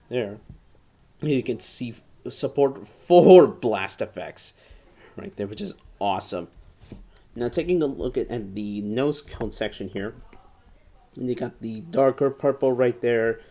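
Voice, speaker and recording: A man giving a casual hands-on review in a halting, enthusiastic way, repeating and restarting phrases and stressing key words. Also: medium pitch, warm tone. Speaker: toy reviewer. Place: indoor room at home, seated at a table.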